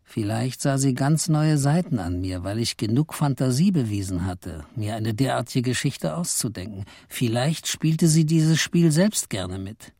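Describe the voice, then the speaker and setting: Radio drama narration: scheming, brooding husband, intimate and reflective, soft dark tone, dry acoustic. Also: male voice, mid-range voice, animated, a little slow, no echo. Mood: musing. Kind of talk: first-person radio play narration.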